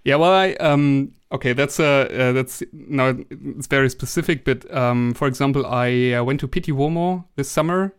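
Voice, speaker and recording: A young man from Germany speaks English with a German accent in a relaxed interview. His voice is smooth and clear at a middle pitch, and he often pauses, restarts and fills gaps as he searches for words. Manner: hesitant, many restarts and fillers. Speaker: guest. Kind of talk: casual interview conversation.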